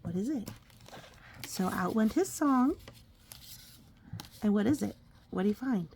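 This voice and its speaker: Woman reading a storybook, slow and creaky. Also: medium pitch, a little hiss or hum. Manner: expressive, coaxing, child-directed, often dropping into breathy whispers. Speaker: parent reading a picture book aloud to a young child. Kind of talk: storybook read-aloud with conversational asides to a child.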